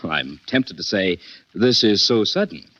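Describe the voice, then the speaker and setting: Suave, animated gentleman detective. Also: low voice. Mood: wry, curious. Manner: suave, wry, brisk. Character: urbane, confident, amused gentleman detective. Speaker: host and narrator. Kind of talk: 1940s radio acting. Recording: dull 1940s radio recording.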